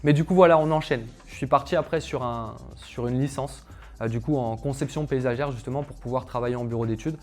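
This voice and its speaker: A young man talks straight to his viewers in a loose, chatty monologue. He fills it with hesitations and asides. His voice sits at an ordinary middle pitch, and quiet music plays underneath. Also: a little fast. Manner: chatty, filler-heavy, addresses viewers directly. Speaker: calisthenics coach. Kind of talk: casual personal story monologue to camera.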